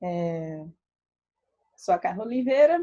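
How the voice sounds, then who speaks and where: A woman in her thirties speaks with a Brazilian accent that blends Minas Gerais and Rio. Her voice is mellow and dark. She talks in a relaxed, chatty way, full of fillers and light asides. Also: on the low side, slow pace, highs cut off, slight background noise. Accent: Brazilian Portuguese, mixed Minas Gerais and Rio. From Belo Horizonte, Brazil. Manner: relaxed, chatty, many fillers, laughs often. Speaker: statistician presenting to a meetup. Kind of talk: casual talk.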